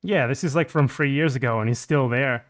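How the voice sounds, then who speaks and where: Brief: male stream host, casual and blunt, quick to swear, a mid-range voice. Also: mid-range voice. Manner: brief interjections, blunt and profane. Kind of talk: casual livestream chat.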